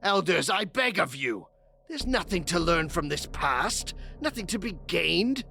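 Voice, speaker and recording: Embattled vampire politician: higher-pitched, gravelly voice, forceful and desperate, scheming audio-drama council member. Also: male voice, big pitch swings, unhurried, faint music behind, full character voice. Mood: desperate pleading. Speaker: actor.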